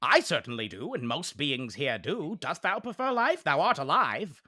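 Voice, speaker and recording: A man's voice: a little high, very animated, moderate pace, sharp tone, no room sound, clean studio sound, exaggerated voice. A man voicing a jovial masculine forest being who speaks in formal, archaic thee-and-thou English.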